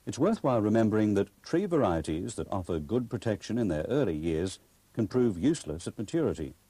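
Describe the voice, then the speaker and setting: A male narrator reads an instructional script formally and carefully. His low, husky voice moves expressively, in a dry, close recording. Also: big pitch swings, dark tone, dry recording. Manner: formal, measured explanation.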